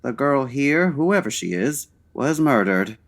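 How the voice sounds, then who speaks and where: An actor playing a suave, unflappable gentleman detective in an old-time radio parody, speaking in a measured, formal, dryly amused way. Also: medium pitch, sing-song. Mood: probing.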